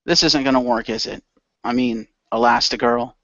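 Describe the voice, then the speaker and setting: Male player, mid pitch, wry and grumbling, narrating game moves, dry dull audio. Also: steady tone, moderate pace, fairly bright tone, no echo. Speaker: tabletop role-play player. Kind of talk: improvised narration with casual in-character asides.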